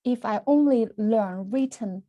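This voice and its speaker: A woman teaching a class in English, often restarting her phrases and laughing softly. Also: fairly high pitch, measured pace, no echo, lo-fi sound. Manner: frequent restarts and soft laughs; speaks English as second language, simple grammar. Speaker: Mandarin teacher. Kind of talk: online class talk.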